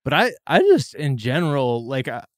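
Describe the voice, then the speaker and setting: A man's voice: mid-range voice, big pitch swings, moderate pace, no room sound, clean studio sound. A man in his forties hosting a casual podcast chat, rambling and swearing freely with lots of "like"s. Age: 40s. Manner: loose, profane, rambling with many "like"s. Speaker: podcast host.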